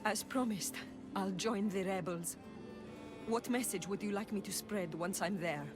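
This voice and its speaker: A woman's voice: mid-range voice, a little slow, over music, lightly acted. A woman playing an anxious, secretive village mother in a video game, speaking formally and urgently. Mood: relieved, grateful. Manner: dramatic, urgent, formal wording. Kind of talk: video game cutscene acting.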